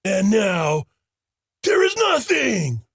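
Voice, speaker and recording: A man's voice: sits high, wildly swooping pitch, very slow, vocal fry, a bit bright, breathy edge, no echo, studio quality, exaggerated voice. A man voicing a monstrous, imperious and furious tyrant warlord in a comic dub, with bombastic, commanding delivery. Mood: imperious fury. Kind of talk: comic dub villain acting.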